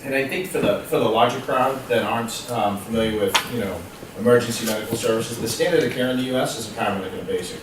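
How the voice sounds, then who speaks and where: A man's voice: mid-range voice, background noise. A man explaining emergency services rules at a public meeting. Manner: explanatory, rapid. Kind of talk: meeting debate. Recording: echoey room from far off.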